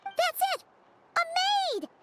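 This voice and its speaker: A woman voicing a tiny, excitable, blunt fairy companion in a video game, with a squeaky, exclamatory childlike delivery. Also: slow pace, very noisy, exaggerated voice. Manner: squeaky, exclamatory, refers to herself in third person.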